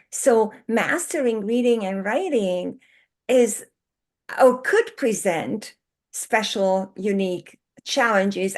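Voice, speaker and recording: A woman who grew up speaking Hungarian, a guest author explaining education research in an animated, thoughtful way, often pausing to rephrase. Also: medium pitch. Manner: animated, expressive, pauses to rephrase. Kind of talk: conversational podcast interview.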